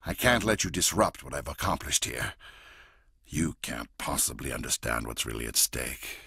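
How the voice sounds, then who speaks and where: A man voicing a menacing, fanatical alien warlord for a video game, gravelly and raspy, with a grandiose, deliberate, intense delivery. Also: extremely low pitch, animated, bright, forceful tone, no echo, clean studio sound, full character voice. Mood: cold, ominous. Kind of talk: dramatic video game voice acting.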